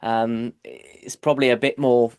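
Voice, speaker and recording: A man's voice: mid-range voice, level tone, measured pace, a little mellow, clean tone, no room sound, clean studio sound. A man hosting a podcast in casual chat, congested from a cold, chatty and repeating himself. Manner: chatty, repeats words, says mate often. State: congested, stuffy-nosed. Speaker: podcast host.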